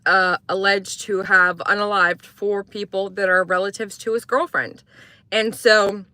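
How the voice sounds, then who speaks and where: A woman's voice: medium pitch, bright, forceful tone, dry recording. A young woman, from Croatia, hosting a casual morning true-crime livestream, chatting and answering viewers as she rambles through updates.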